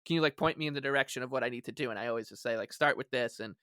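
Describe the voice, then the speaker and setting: A man co-hosting a casual sports podcast, rambling along in an animated, emphatic way. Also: medium pitch, rapid-fire, no echo, clean studio sound. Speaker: podcast co-host.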